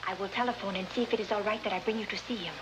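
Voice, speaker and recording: A woman's voice: mid-range voice, steady tone, rapid-fire, natural voice. An actress playing an anxious, devoted young Latin American girlfriend with earnest, careful phrasing in 1950s television style. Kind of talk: early 1950s TV adventure acting. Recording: noisy old 1950s television soundtrack.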